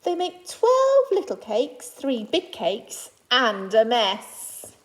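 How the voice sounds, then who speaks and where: A woman's voice: fairly high pitch, huge pitch swings, slow pace, poor audio. A woman teacher reading a children's picture book aloud to young pupils, phrasing it simply and adding reassuring asides in her own words.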